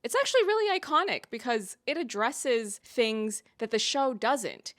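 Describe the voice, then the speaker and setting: A woman's voice: a little high, expressive, normal speed, a bit bright, no room sound, studio quality. A woman giving opinionated video essay commentary as a reviewer, with emphatic delivery and wry, exasperated asides.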